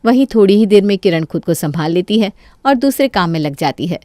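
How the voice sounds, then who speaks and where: A woman's voice: medium pitch, animated, fairly quick, warm tone, no echo, studio quality. A woman giving scripted narration of a cartoon story.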